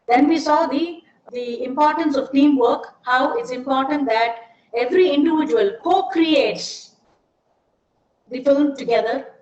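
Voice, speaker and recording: A middle-aged woman with an Indian accent explaining things in an informal online lecture, often posing questions to her listeners. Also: sits high. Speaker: seminar speaker, ad film maker. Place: indoors, online seminar. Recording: dull, echoey online call with hiss.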